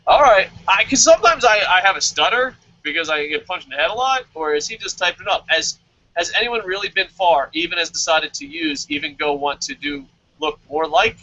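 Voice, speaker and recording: Host type: excitable man, casual talk, higher bright voice, muffled audio. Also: fairly quick. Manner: excitable, jumps into loud animated quotes, stammers at times. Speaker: wrestling review host. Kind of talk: casual podcast chat. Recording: dull lo-fi audio.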